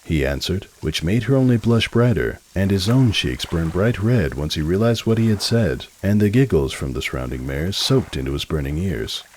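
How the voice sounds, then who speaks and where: A man narrates a story in a dramatic style. His voice is low and moves expressively up and down, over faint background noise. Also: very animated, normal speed, few pauses, darkish tone, rough recording.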